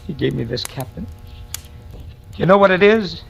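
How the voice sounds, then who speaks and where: An actor playing an anxious, loyal younger cop in a 1940s radio drama. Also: male voice, a little high, expressive, normal speed, creaky, a little hiss or hum, low-quality recording. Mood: shocked, pleading. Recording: vintage radio broadcast, music behind.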